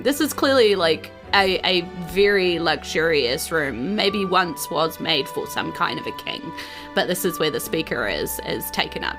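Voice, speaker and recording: A woman with a New Zealand accent hosting and narrating a tabletop game in an informal, animated storytelling manner. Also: on the low side. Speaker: game master and host.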